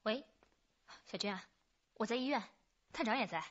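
An actress playing a shrewd, businesslike female detective who reasons deliberately in a radio drama. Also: on the high side, lively intonation, a bit bright, dull audio. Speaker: actor in a police radio drama. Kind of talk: Chinese radio drama acting.

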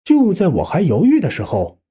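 Animated male audiobook narrator, colloquial storyteller. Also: sits high, moderate pace, breathy edge. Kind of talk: first-person scripted narration of a suspense novel.

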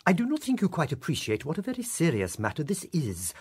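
An actor playing a polished, discreet diplomat in a radio play, formal and persuasive, with a slightly breathy voice. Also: male voice, a little high, huge pitch swings, fast, a little mellow, heavily acted. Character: polished discreet male diplomat, middle-aged. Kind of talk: 1960s radio drama acting.

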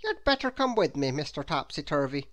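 A woman's voice: low-pitched, big pitch swings, a little fast. Woman playing a firm, helpful storybook policeman. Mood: reassuring. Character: steady, reassuring, helpful policeman.

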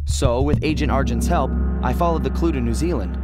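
An actor playing an eager, determined young detective agent in a video game, earnest and bold. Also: male voice, medium pitch, fairly quick, close to an everyday voice. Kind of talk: animated game acting. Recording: voice under loud music.

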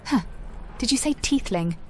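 A woman's voice: a little high, animated, normal speed, darkish tone, slight background noise, natural voice. A woman voicing a composed elven sorcerer in a video game, direct and inquisitive. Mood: firm. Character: composed female elven sorcerer hero, questioning and firm.

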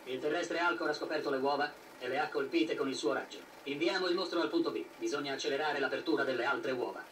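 Man acting a cold, quick-talking alien villain. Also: on the high side, a bit bright, natural voice. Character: cold villainous male alien officer reporting. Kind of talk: dubbed 1970s anime acting. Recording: old film soundtrack, distant, faint noise.

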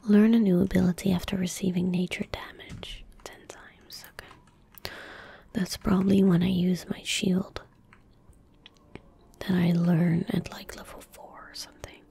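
A young woman gives hushed ASMR commentary on a game in a soft, breathy whisper. Her delivery is very slow and gentle, with a mellow tone, right up at the mic over keyboard and mouse clicks. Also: fairly low pitch, flat delivery. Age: young adult. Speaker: ASMR gaming streamer. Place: indoor room at a desk. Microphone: close desk microphone. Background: keyboard typing and mouse clicks.

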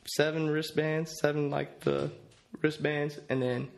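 Young man, 20s, gravelly, relaxed storyteller; podcast guest on a studio mic. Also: fairly high pitch, measured pace. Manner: easygoing, repeats words while telling stories. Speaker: guest, college quarterback. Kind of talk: casual interview storytelling. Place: podcast studio at night, indoors. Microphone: studio mic on boom arm.

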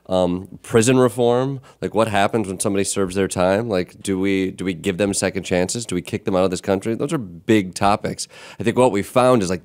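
A man in his thirties with a deep, low voice tells stories at a studio mic. His delivery is loose and conversational, with an animated, laughing edge. The close mic keeps him clear and present. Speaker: podcast host. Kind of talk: casual storytelling chat. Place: small podcast studio. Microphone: large studio mic on a stand.

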